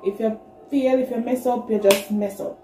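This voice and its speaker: High-voiced young Jamaican woman, echoey room. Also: steady tone, normal speed. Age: 20s. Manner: chatty, conversational, addressing viewers directly. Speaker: vlogger, nursing student. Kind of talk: casual vlog talk to camera. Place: small plain room indoors. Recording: echoey room, music behind. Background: background music.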